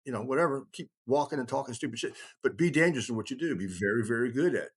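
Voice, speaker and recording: A man's voice: medium pitch, animated, quick pace, breathy edge. Older man, rambling and blunt. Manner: rambling, emphatic, blunt and salty. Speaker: kindred chieftain. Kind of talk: casual podcast conversation.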